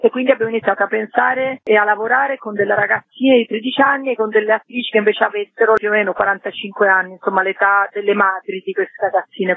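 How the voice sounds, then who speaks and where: Woman theatre director, thoughtful and wordy interviewee, flat intonation, heard through a thin phone connection. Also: medium pitch, dry recording. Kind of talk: interview, explaining. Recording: tinny, narrow phone line on radio.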